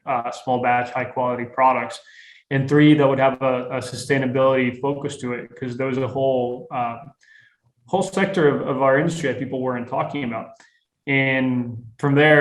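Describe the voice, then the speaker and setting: A young man from Costa Rica telling his founding story as a guest, relaxed and rambling, with many fillers and restarts. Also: medium pitch, reverberant, low-quality recording. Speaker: guest, tequila brand founder. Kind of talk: casual interview storytelling.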